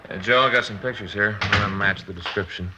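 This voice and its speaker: An actor playing a stern, cautious police officer with a terse, hard-boiled manner in a radio drama. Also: male voice, fairly low pitch, quick pace, very noisy, close to an everyday voice. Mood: businesslike. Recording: dull vintage radio recording.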